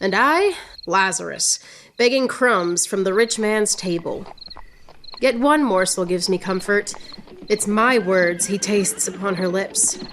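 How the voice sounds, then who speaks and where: An actress playing a witty, proud, lovelorn woman poet in radio theatre, speaking in a theatrical, yearning way with drawn-out phrases. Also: mid-range voice, fairly bright tone, slight background noise, rough recording. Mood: bitter, longing. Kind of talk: poetic radio theatre acting.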